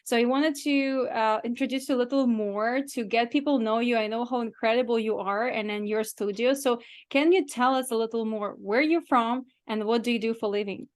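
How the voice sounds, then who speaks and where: A woman's voice: fairly high pitch, fairly quick, few pauses. A woman hosting a casual interview, chatting in an effusive, complimentary way with her guest.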